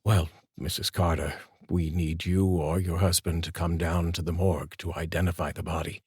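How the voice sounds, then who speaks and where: A male storyteller voicing a calm, formal police detective who speaks in a measured, soft tone. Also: deep voice, breathy edge, no room sound, studio quality. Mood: somber.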